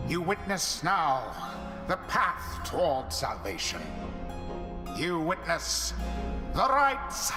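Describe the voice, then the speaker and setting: An actor playing a theatrical, scornful and ominous overseer of ancient rites in a fantasy video game, speaking in archaic, grandiose phrases. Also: male voice, mid-range voice. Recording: echoey cavernous reverb over game music.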